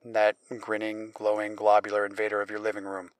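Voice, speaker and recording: A male podcast host reads a wry, theatrical sign-off. His voice is low, smooth and fairly flat. It sounds close and dry. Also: fairly quick, dry recording. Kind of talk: scripted sign-off.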